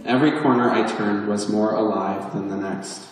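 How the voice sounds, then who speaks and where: A man's voice: fairly low pitch, steady tone, normal speed. A man giving a live reading from his memoir as its author, in articulate, literary phrasing with a wry touch. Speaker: author reading at a book event. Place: chapel, indoors, at a live book release. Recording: echoey chapel, picked up from far off.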